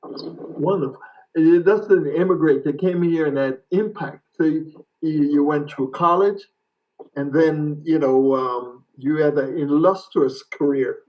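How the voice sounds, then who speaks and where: A man's voice: a little high, measured pace, distant mic, lo-fi sound. A man hosting an interview, asking questions and answering with short, encouraging affirmations. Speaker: interview host.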